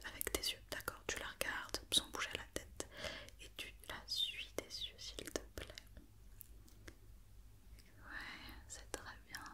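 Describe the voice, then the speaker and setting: A woman whispering an ASMR roleplay as a doctor, giving soft, repetitive, reassuring instructions.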